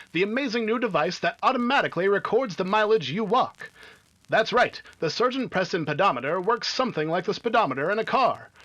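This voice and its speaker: A man's voice: high-pitched, fairly bright tone, muffled recording. A man narrating and announcing in the scripted style of an old-time radio adventure serial.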